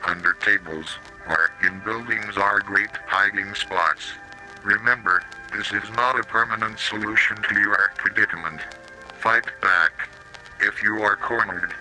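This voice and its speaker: A man's voice: on the low side, sharp tone, poor audio. A synthetic man's voice, robotic and mechanical, narrating a scripted analog horror public-safety warning tape with odd pronunciations. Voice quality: robotic synthetic text-to-speech voice. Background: slow music.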